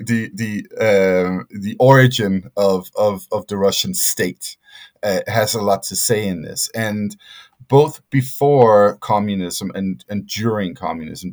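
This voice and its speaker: A middle-aged man answering interview questions as a scholar, speaking haltingly with many fillers and restarts. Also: deep voice. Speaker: guest scholar.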